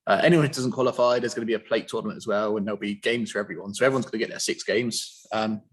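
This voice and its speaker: A man hosting an online interview, explaining a tournament in a relaxed, filler-heavy way. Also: fairly low pitch, very fast, breathy edge. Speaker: host.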